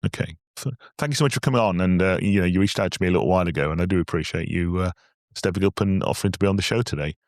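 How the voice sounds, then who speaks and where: A man hosting a business podcast, chatty and a little self-deprecating, his voice slightly croaky from a cold. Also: deep voice, rapid-fire, no room sound, clean studio sound. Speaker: podcast host. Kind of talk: casual podcast chat.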